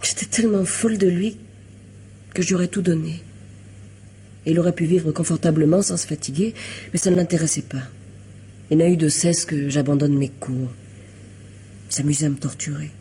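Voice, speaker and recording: An actress in a French radio mystery drama playing a weary, lovelorn young woman, wistful and hesitant, confessing in a hushed way. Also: sits low, moderate pace, long pauses, slight background noise. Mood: wistful, bitter.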